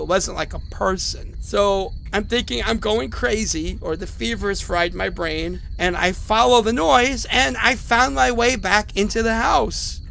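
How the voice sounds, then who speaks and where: Actor as a high-voiced, rattled sick man, gravelly. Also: fairly bright tone, slight background noise, heavily acted. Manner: conversational, rambling, hesitant. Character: sick, rattled, apologetic man leaving rambling phone messages. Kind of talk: horror audio drama monologue.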